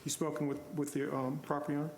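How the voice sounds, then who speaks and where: Presiding man, fast and polite questioner, echoey chamber. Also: on the high side, monotone, slight background noise. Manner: brisk, probing, polite. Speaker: special magistrate presiding. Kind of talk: questioning at a hearing. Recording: echoey hall from council mic.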